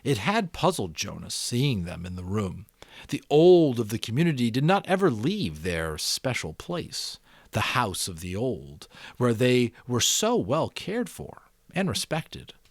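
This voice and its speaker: A man reading a novel aloud as dramatic narration, with expressive, deliberate phrasing and pauses. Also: medium pitch, creaky, slightly rough, no echo. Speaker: amateur audiobook narrator.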